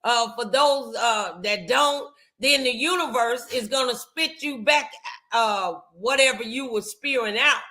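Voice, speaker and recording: A middle-aged American woman hosting a motivational talk show, speaking in an emphatic, deliberate way. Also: a little high, pushed and bright.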